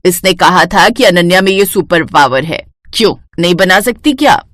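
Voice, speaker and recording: A woman voicing a bossy, sharp-tongued middle-aged mother-in-law who scolds and demands, in a cartoon story. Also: on the low side, lively intonation, normal speed, no echo.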